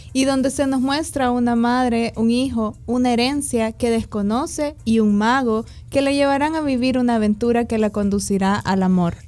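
A woman's voice: a little high, darkish tone. A woman with a Salvadoran accent co-hosting a radio segment, reading prepared notes in an upbeat way. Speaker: radio co-host.

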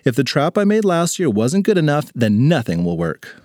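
A man's voice: on the high side, sing-song, normal speed, darkish tone, no room sound, clean studio sound. A man voicing a headstrong, sarcastic fifth-grade girl with a dramatic manner in a children's story. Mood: defiant, sarcastic. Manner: exasperated, dramatic delivery.

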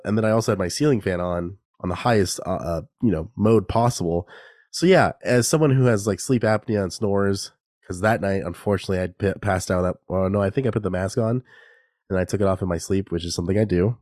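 A man's voice: lowish voice, a little mellow, dry recording, clean studio sound. A young man hosting a casual solo podcast, stuffed up and a bit nasal from a cold, rambling and laughing at himself. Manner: rambling, self-deprecating, laughs often. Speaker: podcast host. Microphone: new podcast microphone.

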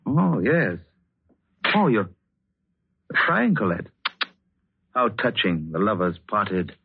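A sneering, cruel husband, the villain of an old radio thriller. His rough, husky voice drips theatrical sarcasm and mockery on a dull, dry vintage recording. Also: on the low side, sing-song, slow pace, dry recording, exaggerated voice. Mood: gloating, mocking. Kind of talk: 1940s radio drama acting.

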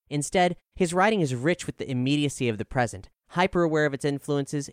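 A man reads a written music review as narrator. His voice is smooth and clean, recorded very dry and close, and his delivery is measured and articulate, with a formal turn of phrase. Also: on the high side, no room sound. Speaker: narrator reading an album review.

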